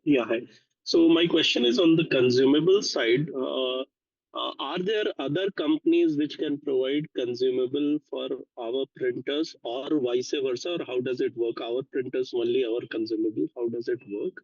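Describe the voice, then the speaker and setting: Male caller, medium pitch, putting investor questions off the cuff, dull dry phone-conference sound. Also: fairly even intonation, moderate pace, no echo. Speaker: caller asking questions on an earnings call.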